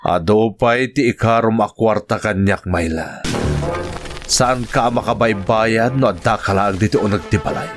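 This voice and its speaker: A man's voice: on the low side, normal speed, over music, lightly acted. An actor playing a menacing, mocking captor who bullies his victim in an Ilocano radio drama. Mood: threatening. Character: menacing, mocking male captor demanding repayment.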